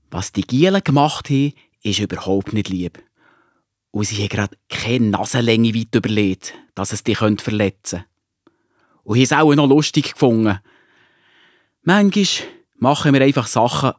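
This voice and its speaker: A man playing a loving, patient father in a children's audio drama, gently reassuring, with a Swiss German accent. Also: mid-range voice, no echo, studio quality, close to an everyday voice.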